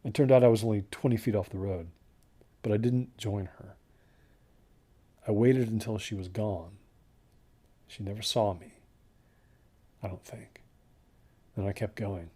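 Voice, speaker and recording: Husky, haunted hiker played by a man, halting. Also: lowish voice, fairly even intonation, warm tone. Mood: uneasy, haunted. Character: haunted, unravelling male desert hiker recounting his trek. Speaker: first-person narrator of a horror audio drama. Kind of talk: confessional dramatic monologue.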